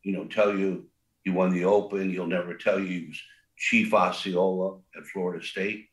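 Older guy with a big low voice, rambles with lots of um and you know, echoey room. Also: flat delivery, normal speed. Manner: rambling, many fillers and restarts. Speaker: restaurant co-founder guest. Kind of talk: casual interview talk.

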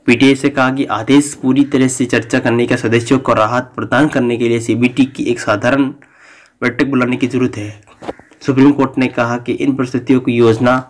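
A man hosting a news channel, reading a bulletin aloud in a flat, halting way. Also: mid-range voice.

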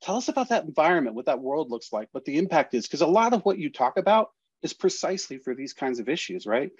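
A man's voice: fairly high pitch, sing-song, fast talker, husky, no echo. Middle-aged male host, rambling with fillers, dry, muffled home-studio sound. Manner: rambling, restarts, many fillers. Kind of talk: video call interview. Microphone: studio mic with headphones.

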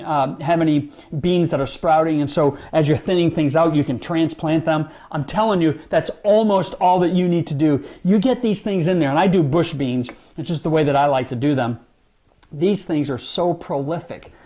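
Upbeat man in his forties, animated. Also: a little high, normal speed. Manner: animated, talks with his hands, enthusiastic. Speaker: gardening vlogger. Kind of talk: casual talk to camera. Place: dining room at home, indoors.

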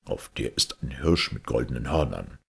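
A man's voice: a bass voice, expressive, normal speed, creaky, husky, voice pushed down, exaggerated voice. A man voicing a commanding, threatening fairy-tale king, stern and imperious.

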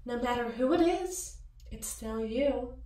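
Echoey, slow-taunting mirror double played by a woman. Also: on the high side, animated, mellow. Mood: coaxing, cutting. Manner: mocking, cooing, drawn-out teasing. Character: taunting female mirror alter ego, sly and seductive. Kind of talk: ASMR roleplay acting. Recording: echoey, distant-sounding voice.

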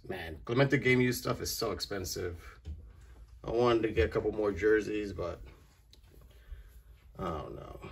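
A man's voice: low-pitched, gravelly, husky. A man in middle age giving a casual, slangy video walkthrough at home, recorded on a handheld phone. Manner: informal, slangy. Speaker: vlogger giving a room tour. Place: carpeted room at home. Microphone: phone held in hand.

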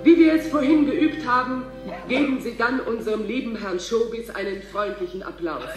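A woman's voice: a little high, normal speed, over music, natural voice. Polite female studio announcer an actress's role, echoey. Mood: gushing, instructive. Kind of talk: radio drama acting, studio announcing.

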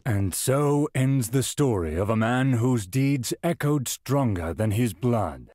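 An actor playing a solemn storyteller narrating a video game epilogue, grand and measured with wry touches. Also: male voice, mid-range voice, lively intonation, a little mellow, no room sound. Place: dry studio.

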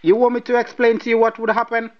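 A man with a Nigerian accent speaks in a fairly high voice, slow and deliberate, blunt and mocking. The recording is dull and lo-fi. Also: monotone, slight background noise. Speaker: person baiting a scam caller. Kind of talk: confrontational phone call.

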